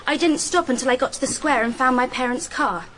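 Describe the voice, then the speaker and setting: A woman's voice: high-pitched, a little fast, a bit bright. An actress playing a sensible seventeen-year-old schoolgirl witness in a radio drama, composed and matter-of-fact. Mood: composed, earnest. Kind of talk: radio courtroom drama acting. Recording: noticeable background noise and ambience.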